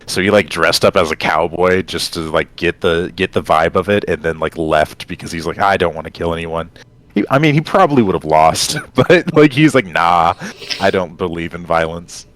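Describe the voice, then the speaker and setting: A man with a low voice talks casually as a podcast co-host. He explains at length and wanders off into side stories in a conversational way. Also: lively intonation, normal speed, a bit bright. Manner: rambling, explanatory, digressive storytelling.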